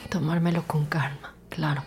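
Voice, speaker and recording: A woman's voice: low-pitched, level tone, moderate pace, darkish tone, soft background music, close to an everyday voice. An actress playing a stubborn, wounded female police officer in an audio drama podcast, urgent and determined. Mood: determined, weary. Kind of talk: audio fiction podcast acting.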